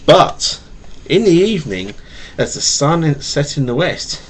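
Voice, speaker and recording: A man with a slight stutter reading a picture book to his young child in a playful, animated way, with casual asides, recorded on a home webcam. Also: a little high, unhurried, husky, rough recording. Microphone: webcam mic.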